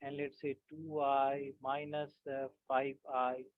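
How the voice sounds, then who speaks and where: A man teaching physics in an online class, halting with many fillers and often checking that students follow. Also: medium pitch, flat delivery, a little hiss or hum. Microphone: video call. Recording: tinny dry call audio.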